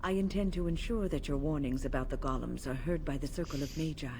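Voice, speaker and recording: Low, mellow earnest mage. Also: female voice, quick pace, a little hiss or hum. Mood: earnest, respectful. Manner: formal, polite. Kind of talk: fantasy video game acting.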